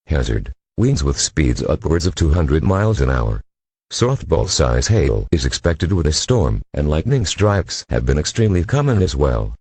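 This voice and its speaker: A man's voice: very deep voice, a little mellow, breathy edge, no echo. A man reading a scripted severe weather warning as an emergency alert announcer, formal and impersonal.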